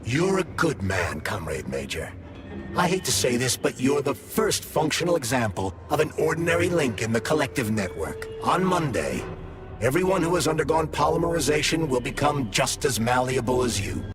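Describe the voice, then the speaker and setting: An actor voicing a calculating, vengeful scientist turned artificial assistant in a video game, speaking formally over music. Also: male voice, medium pitch, very animated, natural voice. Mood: grave. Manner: articulate, measured, formal. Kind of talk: dramatic video game voice acting. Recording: game music under the dialogue.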